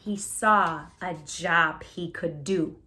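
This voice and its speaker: A woman reading a children's picture book aloud as a storytime host, theatrically, with drawn-out words and little asides to the listener. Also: fairly low pitch, pushed and bright.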